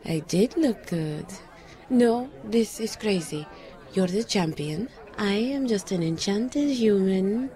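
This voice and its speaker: A woman's voice: mid-range voice, lively intonation, slow pace, dark tone, a faint crowd. An actress playing a skeptical, guarded psychic woman, dry and teasing, in an audio drama. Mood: reluctant. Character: skeptical, psychic enchanted woman, the detective's old flame.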